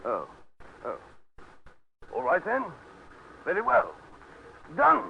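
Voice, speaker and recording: An actor playing an effusive, polite, well-to-do British gentleman in a 1940s radio drama, with a plummy manner. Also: sits high, wildly swooping pitch, slow pace, vocal fry, exaggerated voice. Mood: obliging. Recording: noisy, dull 1940s radio recording.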